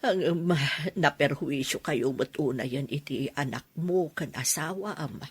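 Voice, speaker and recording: Husky, slow-spoken, meek old mother. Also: low voice. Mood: meek, grateful. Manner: slow, halting, pleading. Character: frail, ailing elderly mother, meek, remorseful, self-effacing. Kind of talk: Ilocano radio drama acting.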